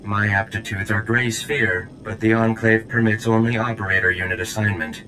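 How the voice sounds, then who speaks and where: Stilted, haughty low-voiced alien technician. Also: male voice, flat delivery, bright, forceful tone, a little hiss or hum. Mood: resentful, stiff. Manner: stilted, clipped formal syntax, deliberate. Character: stiff, haughty alien operator technician with grievances. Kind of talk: video game character acting.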